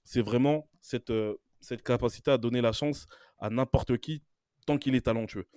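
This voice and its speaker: A man's voice: medium pitch, moderate pace, mellow, dry recording, studio quality. A man giving admiring opinions as a podcast panelist, building his points through emphatic repetition. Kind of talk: admiring opinion in casual discussion.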